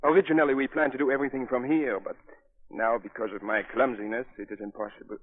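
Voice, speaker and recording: A man's voice: mid-range voice, flat delivery, quick pace, natural voice. An actor playing a humble but resolute French resistance man in a 1940s radio drama, formal and solemn. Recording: tinny, phone-like old radio broadcast, music.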